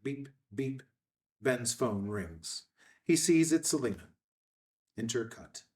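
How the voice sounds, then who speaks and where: Male narrator for screenplay action lines, measured and terse, medium pitch, recorded at some distance. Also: lively intonation, a little mellow. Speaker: narrator reading stage directions. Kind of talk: scripted narration at a table read.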